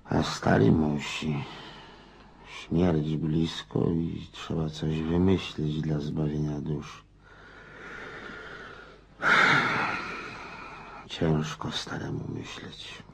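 An actor playing a garrulous, restless old Russian country landowner in a radio drama, rambling in a folksy way. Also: male voice, extremely low pitch, level tone, slow, creaky, very noisy, full character voice. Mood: brooding. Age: older. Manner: rambling, folksy, muses aloud.

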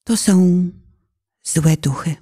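A woman narrates a personal testimony from a script. Her voice sits on the low side and has a dark, mellow tone. She phrases each thought solemnly and deliberately. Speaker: author and narrator.

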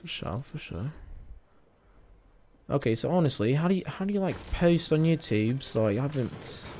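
A man's voice: a little high, animated, moderate pace, darkish tone, slight background noise. A man gamer chatting casually while he plays an online game. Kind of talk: casual gameplay chat.

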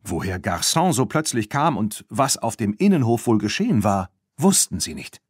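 Male children's audiobook narrator, animated and expressive, dry studio-like sound. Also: fairly low pitch, normal speed, husky, no room sound. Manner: animated storytelling, acting out dialogue. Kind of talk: scripted narration of a children's story.

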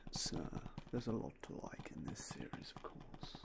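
A young man whispering in a polite, unhurried way as a game store clerk in an ASMR roleplay, close to a binaural mic. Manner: soft, polite, unhurried shopkeeper talk with fillers. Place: indoors in front of a game store backdrop. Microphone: binaural mic close to the face.

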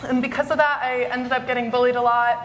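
A teenage girl speaks as a panelist on a conference stage, chatty and upbeat. Her voice sits fairly high. It rings through a large hall with a long echo and a faint hiss. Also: flat delivery, fast talker, fairly bright tone. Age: teens. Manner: chatty, upbeat, laughs often. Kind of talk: panel introduction. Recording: echoey hall recording.

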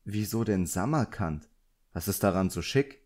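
A man's voice: lowish voice, animated, normal speed, a little mellow. A man voicing a nervous, evasive boy apprentice magician, hesitant and meek, in an audiobook. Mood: curious.

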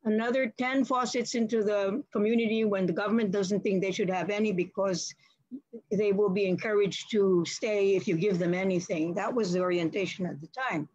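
A woman's voice: medium pitch, steady tone, moderate pace, darkish tone, no echo. An older woman moderating an academic webinar from her home study, telling a long personal story in a reflective, chatty way over a video call. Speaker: seminar moderator. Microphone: laptop video call. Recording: dull dry video-call audio.

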